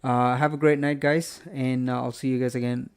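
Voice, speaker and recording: A man's voice: mid-range voice, flat delivery, normal speed, clear, resonant tone, soft background music. Rambling man hosting a live stream. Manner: rambling, many fillers and restarts. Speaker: host. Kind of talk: live stream sign-off chat.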